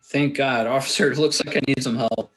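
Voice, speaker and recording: A man's voice: medium pitch, steady tone, fairly quick, a bit bright, slight background noise, lightly acted, low-quality recording. A man playing a casual, easygoing small-time crook at a film script table read. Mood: alarmed.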